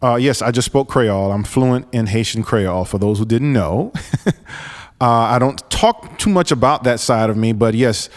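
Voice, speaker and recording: A man in his forties hosts his podcast alone, speaking earnestly and stumbling through many restarts. His voice is smooth and resonant, and a long reverb hangs behind it. His pitch sits in an ordinary middle range. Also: normal speed. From New York, raised in Florida. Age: 40s. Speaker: podcast host. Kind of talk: solo podcast monologue.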